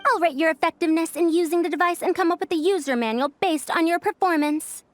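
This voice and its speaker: A woman's voice: very high-pitched, quick pace, soft background music. A woman voicing a proud, prickly scholar with a youthful look and an old-fashioned, pompous lecturing manner in an anime game dub. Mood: brisk, fond.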